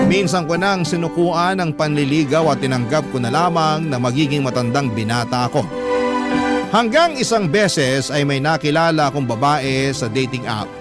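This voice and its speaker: A man with a Filipino accent reading a first-person letter as scripted, dramatic radio narration. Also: fairly high pitch. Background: loud background music.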